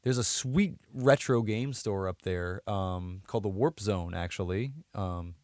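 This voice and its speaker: A man's voice: sits low, a little slow, a little mellow, no echo, clean studio sound. An American man, around his thirties, chatting casually as a podcast co-host and rambling as he trails off mid-thought. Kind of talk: casual chat.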